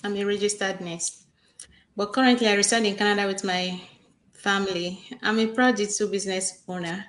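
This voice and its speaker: A woman's voice: medium pitch, steady tone, fairly quick, a bit bright. Woman from Nigeria in an echoey room. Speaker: panelist. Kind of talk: casual live-stream chat. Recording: roomy echo.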